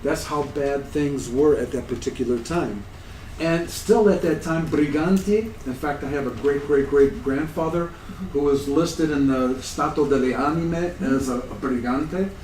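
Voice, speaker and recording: A man's voice: fairly high pitch, measured pace, darkish tone, slight background noise. A man in his sixties from the United States giving a conference talk, conversational and self-deprecating with an amused tone. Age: 60s. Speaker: conference presenter. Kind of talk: academic conference talk, anecdotal. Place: lecture room at a conference. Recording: echoey room, distant mic.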